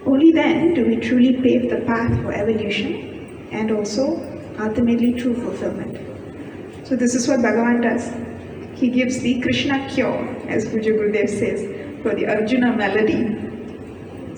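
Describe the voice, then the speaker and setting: A woman with a soft voice giving a guest commentary on scripture, explaining in a measured way and posing questions she then answers. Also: a little high. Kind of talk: spiritual discourse on scripture. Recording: echoey hall, distant mic, audible room noise.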